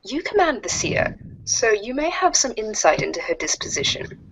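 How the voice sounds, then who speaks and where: A woman's voice: on the high side, very animated, moderate pace, a bit bright, a little hiss or hum, poor audio. A woman voicing a formal, curious alien troll girl with wordy, stilted phrasing, in an amateur reader's theater. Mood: prim.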